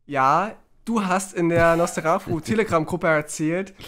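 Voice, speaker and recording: A man's voice: on the high side, unhurried, fairly bright tone. A man co-hosting a podcast in casual talk, chatty and rambling with fillers. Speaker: podcast co-host.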